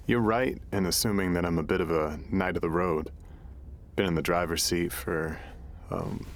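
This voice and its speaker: An actor playing an easygoing middle-aged drifter in an audio drama, gravelly and folksy, with a philosophical bent. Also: male voice, deep voice, a little hiss or hum. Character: gravelly middle-aged male drifter and late-night radio host, easygoing.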